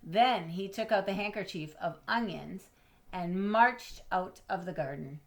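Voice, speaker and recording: A woman with a fairly low voice reads a storybook aloud to children. She is expressive, stretching out key words and pausing for drama. Now and then she steps aside to put questions to her listeners or explain a word. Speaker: storybook reader.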